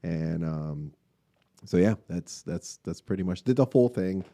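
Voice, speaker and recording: A man from Cuba in his late forties, a restaurant owner telling his story as a guest, relaxed and hesitant with many fillers. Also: low-pitched, unhurried, creaky. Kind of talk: interview answers, personal story. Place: podcast room in a restaurant.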